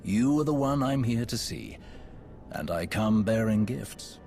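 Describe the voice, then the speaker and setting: A male actor playing a suave, flirtatious Sith lord in a video game, with a courtly, flattering manner. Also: fairly low pitch, a little slow, faint music behind. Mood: charming. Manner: courtly, flattering, smooth-talking.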